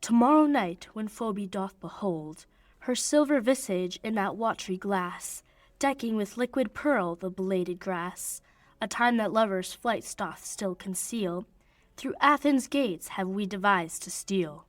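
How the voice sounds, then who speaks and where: A woman's voice: mid-range voice, lively intonation, measured pace, no echo, natural voice. A young woman playing an ardent young nobleman in love in a radio play of Shakespeare, speaking poetic verse. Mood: eager, conspiring. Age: young adult. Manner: declamatory, poetic verse delivery.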